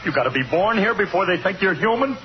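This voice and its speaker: An actor playing a cornered, fatalistic dance musician in a 1950s radio suspense drama, stammering and pleading in slangy talk. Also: male voice, sits high, lively intonation, fast. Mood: desperate, defensive. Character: cornered, bitter male dance musician fearing a lynch mob. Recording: muffled old radio recording with music.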